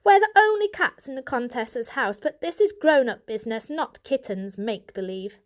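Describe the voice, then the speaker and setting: A woman's voice: high-pitched, lively intonation, moderate pace, voice pushed up. A woman voicing a contemptuous, bullying male cat who snarls scornfully in a storybook reading.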